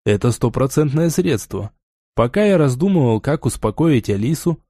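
A man's voice: fairly high pitch, dark tone, dry recording, clean studio sound. A man narrating a first-person novel as a scripted audiobook.

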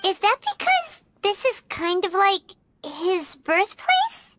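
A woman voicing a tiny, perky, outspoken fairy girl in a video game, childlike and squeaky. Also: takes it slowly, dry recording, heavily acted. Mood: curious. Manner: exclamatory, animated.

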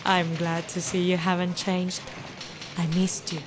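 A woman's voice: fairly low pitch, monotone, measured pace, background noise, lightly acted. An actress playing a friendly female scientist in an audio drama. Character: warm Italian female scientist.

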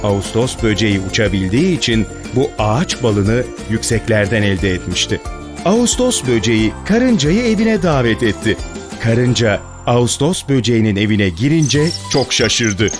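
Man, children's fairy tale narrator, animated intonation, medium pitch, scripted storytelling, clear background music. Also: normal speed.

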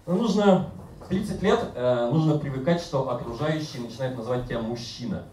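A man in his thirties doing a self-mocking, deadpan stand-up routine on a bar stage. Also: mid-range voice, fairly quick, far from the mic, a little hiss or hum. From Siberia. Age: 30s. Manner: deadpan, self-mocking, acts out other voices. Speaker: stand-up comedian. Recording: roomy live bar recording from afar.

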